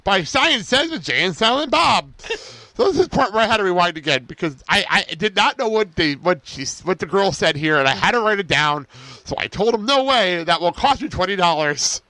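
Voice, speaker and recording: A man with a high, lively voice chats through an episode recap. His delivery is informal and giggly, with casual swearing. The sound is bright and forward, like a podcast co-host talking off the cuff. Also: a little fast, dry recording.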